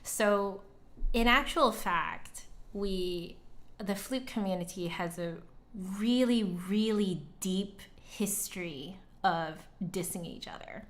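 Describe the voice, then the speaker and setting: Slow-paced woman chatting on a livestream. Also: lowish voice, fairly bright tone. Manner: reflective, informal, conversational. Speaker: livestream host and flutist. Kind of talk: casual livestream chat.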